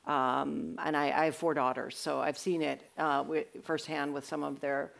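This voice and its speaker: A woman's voice: low voice, level tone, normal speed, gravelly. A woman on a symposium panel, answering an audience question in an earnest, conversational way with frequent restarts.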